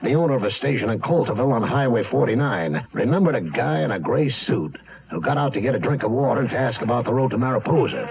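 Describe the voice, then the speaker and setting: Gravelly county sheriff, animated delivery. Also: male voice, medium pitch, fast, narrow, tinny audio, a little hiss or hum. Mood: grim, emphatic. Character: brisk, grim county sheriff briefing a newsman. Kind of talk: 1970s radio drama acting.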